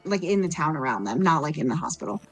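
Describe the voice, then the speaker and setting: A woman's voice: deep voice, very animated, fast, husky, faint music behind. A woman chatting and narrating casually as a player in a tabletop roleplaying game.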